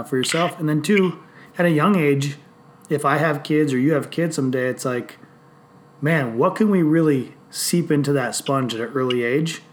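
A man in his late thirties talking casually on a podcast, animated and swearing freely as he tells stories. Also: mid-range voice. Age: 30s. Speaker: podcast host.

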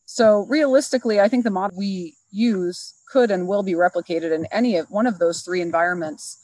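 A woman's voice: mid-range voice, fairly quick, a little mellow, no room sound, poor audio. A woman program leader explaining her ideas in a thoughtful, articulate way. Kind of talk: reflective interview answer.

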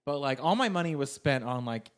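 A man's voice: medium pitch, expressive, fairly quick. A young man from California, a guest on a podcast, telling stories in a rambling way and saying "like" constantly. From Sacramento, California, US. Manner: rambling storytelling, heavy use of "like". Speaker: guest, improv performer and writer. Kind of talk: casual podcast chat.

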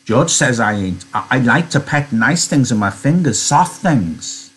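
A man's voice: mid-range voice, measured pace, a bit bright, husky, voice pushed up. A man reading a novel aloud, voicing a big, simple-minded farmhand who stammers, repeats himself and talks with childlike, anxious earnestness in rustic speech. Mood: earnest, hesitant.

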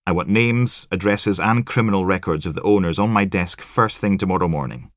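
A male narrator acts a gruff police detective inspector in an audiobook. He is curt and commanding, speaking like a boss to his junior officers. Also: low-pitched, brisk, no room sound, studio quality, natural voice. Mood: stern.

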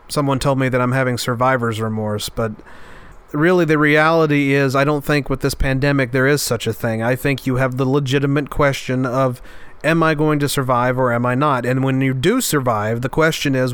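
A man with a nasal, congested voice, still stuffed up after an illness, delivers a reflective podcast monologue. He speaks earnestly and from the heart at a middle pitch. His voice stays smooth and resonant. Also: steady tone, normal speed, fairly bright tone, no echo. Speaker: podcast host.

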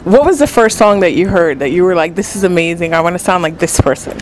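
A woman's voice: fairly low pitch, lively intonation, fast, slight background noise, poor audio. A woman interviewing a singer, asking short questions with brief encouraging replies. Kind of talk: casual interview.

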